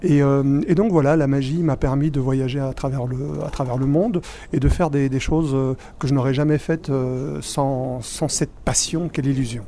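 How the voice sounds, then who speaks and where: A middle-aged man, born in Spain and raised in Belgium, speaking as a magician guest in a casual interview, animated and full of restarts. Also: mid-range voice, normal speed, a little hiss or hum. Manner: animated, many restarts and fillers.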